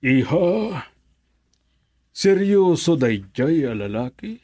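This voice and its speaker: Radio drama dad: probing and protective, slow husky speech, Ilocano accent. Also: male voice, on the high side, big pitch swings, a little mellow, no room sound, studio quality, heavily acted. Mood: surprised, concerned. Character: probing, protective middle-aged father of grown daughter.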